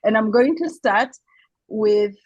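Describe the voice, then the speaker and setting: A woman hosts a relaxed group conversation, her voice higher and breathy. She sounds close and dry, with no room around her, as she encourages listeners. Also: takes it slowly, dry recording. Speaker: host of a women's group talk. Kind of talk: hosting a casual group conversation.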